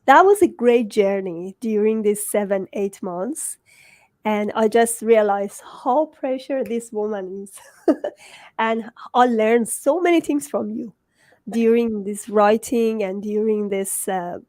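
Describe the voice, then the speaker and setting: A woman's voice: medium pitch, slow pace, a little mellow, no echo. A woman with a Persian accent, a native Farsi speaker, hosting a conversational interview. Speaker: host, book coach.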